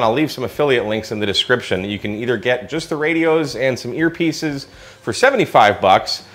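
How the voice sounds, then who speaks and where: Male American product reviewer, low-pitched, enthusiastic and colloquial, casual hands-on demo delivery. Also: fairly bright tone.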